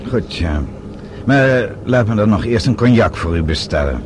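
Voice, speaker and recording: A man's voice: fairly low pitch, expressive, normal speed, natural voice. A man acting a proud, stubborn older businessman with a brusque manner in a Dutch radio drama. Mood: polite, subdued. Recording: old radio drama, faint noise.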